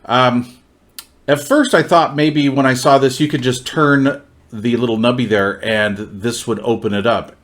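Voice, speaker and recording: A man's voice: mid-range voice, steady tone, a little slow. A man reviewing gear hands-on in a casual, informal, folksy way, often repeating his phrases.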